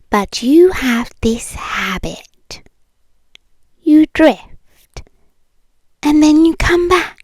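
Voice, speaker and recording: A British woman playing a possessive, eerily calm yandere ex-girlfriend in an intimate, partly whispered ASMR roleplay, cooing slowly and condescendingly with soft menace. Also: high voice, no room sound, heavily acted. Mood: teasing, knowing. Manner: slow, deliberate, softly menacing, condescending. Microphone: close microphone, dry.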